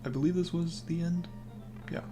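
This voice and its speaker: A young man with a naturally hoarse voice, casually commenting on a game he plays while reading and answering his chat. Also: steady tone, normal speed, music in the background. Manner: low-key, mumbling, encouraging. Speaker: game streamer.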